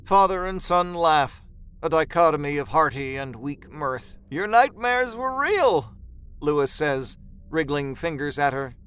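A man's voice: on the high side, fairly even intonation, unhurried, a bit bright, clean tone. A man narrating a scripted horror story in a dramatic storytelling style.

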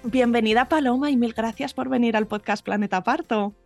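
A woman with a slightly higher voice hosts a podcast interview and asks her guest gentle, welcoming questions. Faint music plays behind her. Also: a little fast, vocal fry. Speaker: podcast host.

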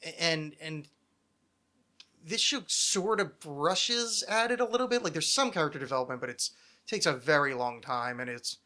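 Bright-voiced, rambling man in his thirties. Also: fairly high pitch, lively intonation, normal speed. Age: 30s. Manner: rambling, digressive, freely profane. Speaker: podcast host. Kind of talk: casual chat about television.

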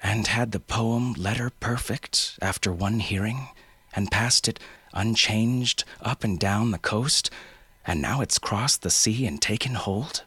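A man's voice: fairly low pitch, measured pace, slightly rough, no room sound, voice pushed up, heavily acted. A man voicing a tense, commanding male expedition leader. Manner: sharp, commanding.

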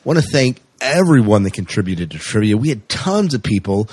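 A man's voice: lowish voice, big pitch swings, a little fast, a little mellow, slightly rough, dry recording. A man co-hosting a podcast in a casual, chatty, jokey way with rambling asides. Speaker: podcast co-host.

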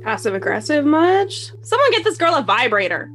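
A woman's voice: high voice, very animated, moderate pace, fairly bright tone, soft background music. A woman narrating with brash, sarcastic comedic asides. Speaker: narrator and wisecracking commentator.

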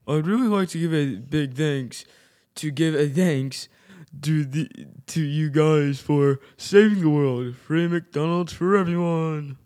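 Grateful master of ceremonies for a homemade radio play, male actor, mellow tone, fairly high register. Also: close to an everyday voice. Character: grateful, welcoming ceremony presenter. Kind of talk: amateur audio theater.

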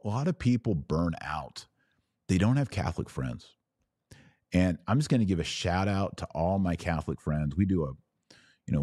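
A man's voice: deep voice, warm tone, dry recording, clean studio sound. A man hosting a livestream podcast, giving informal, earnest advice and often posing questions that he answers himself. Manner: earnest, exhorting, poses questions and answers them. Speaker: podcast host answering live viewers.

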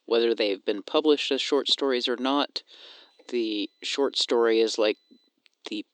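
A man's voice: mid-range voice, a little slow, a bit bright, dry recording. A man co-hosting a podcast, speaking as if reading a question aloud.

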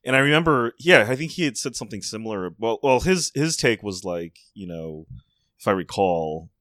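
A man's voice: fairly low pitch, a bit bright, no room sound, clean studio sound. A man co-hosting a casual podcast, rambling and breaking off his own sentences. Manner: rambling, self-interrupting.

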